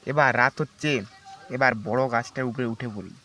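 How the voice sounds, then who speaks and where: A man's voice: mid-range voice, moderate pace, pushed and bright, natural voice. A man playing a weary forest hunter in a cartoon, speaking in a dramatic, declamatory way. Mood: weary, worried. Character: weary, worried male forest hunter who turns merciful. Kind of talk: cartoon voice acting.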